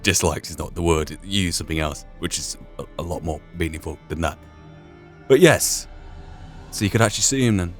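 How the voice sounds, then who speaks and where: A man's voice: deep voice, brisk, a bit bright, soft background music. A man giving in-character commentary on a video game, musing aloud and rephrasing his own thoughts. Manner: musing, repeats and rephrases himself. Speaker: gaming video host.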